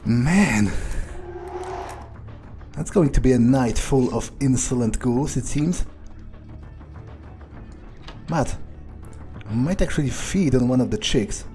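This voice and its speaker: Crude, cocky male vampire played by a man for game roleplay: slow, dark-toned, profane muttering over faint music. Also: mid-range voice, expressive. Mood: puzzled musing. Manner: profane, muttering asides to himself. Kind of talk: improvised in-character game playthrough.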